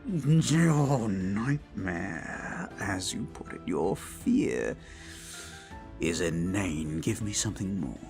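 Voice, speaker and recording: Slow, creaky, sadistic incubus demon. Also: deep voice, lively intonation, music in the background, heavily acted. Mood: contemptuous. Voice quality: breathy whispering, creaky. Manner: slow, drawn-out, theatrical sinister delivery. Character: sadistic male incubus, nightmare incarnate, menacing and mocking. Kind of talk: ASMR roleplay acting.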